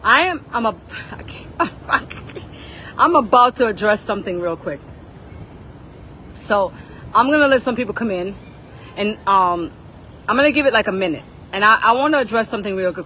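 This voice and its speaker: A woman's voice: on the high side, pushed and bright, a little hiss or hum, poor audio. A woman on a casual livestream rant, confrontational and swearing, repeating phrases for emphasis.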